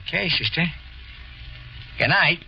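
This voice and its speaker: A man's voice: fairly high pitch, animated, measured pace, vocal fry. An actor playing a mocking young man in a 1940s radio drama. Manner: mocking, teasing. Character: taunting young man, sly and menacing. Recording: muffled 1940s radio broadcast with music.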